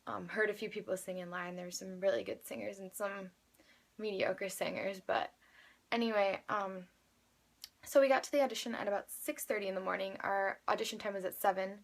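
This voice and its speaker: A young woman of eighteen speaks with an American accent in a mid-range voice with plenty of vocal fry. She tells her story casually, as if chatting to a friend. Her delivery rambles, with frequent filler words and backtracking. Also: mid-range voice, fairly even intonation, brisk. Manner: chatty, rambling, youthful filler-laden speech. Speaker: vlogger, amateur singer. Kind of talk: casual personal storytelling to camera.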